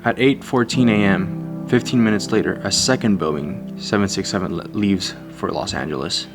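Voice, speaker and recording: Guy reading a documentary script, lowish voice, steady, guitar playing underneath. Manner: measured, reading dates and facts. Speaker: documentary narrator. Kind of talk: scripted narration.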